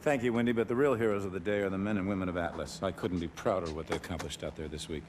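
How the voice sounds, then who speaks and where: A man's voice: low voice, steady tone, darkish tone, a little hiss or hum, lightly acted. A man playing a commanding corporate warlord. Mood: assured. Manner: confident, commanding, deliberate. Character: commanding older male corporate military founder. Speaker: military corporation CEO. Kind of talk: video game cutscene acting.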